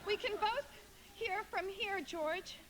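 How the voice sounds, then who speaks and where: Brief: hopeful young sweetheart, coy and quick to exasperate, very high voice, loud noise on live radio-drama recording. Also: female voice. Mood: nervous. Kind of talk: live radio play acting.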